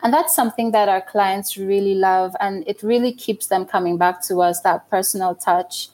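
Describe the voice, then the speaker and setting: A woman's voice: medium pitch, steady tone, normal speed, darkish tone. A middle-aged woman from Guyana giving thoughtful, explanatory interview answers in a conversational style.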